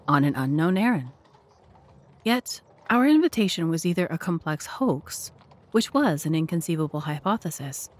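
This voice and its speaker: A woman's voice: lowish voice, a little mellow. A woman narrator and host reading scripted narration for an audio drama.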